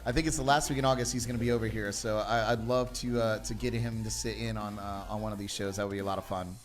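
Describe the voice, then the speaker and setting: A man's voice: lowish voice, steady tone, fast talker, faint music behind. A man hosting a casual livestream chat, joking around and laughing easily. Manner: jokey, easygoing, laughs often. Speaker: podcast host.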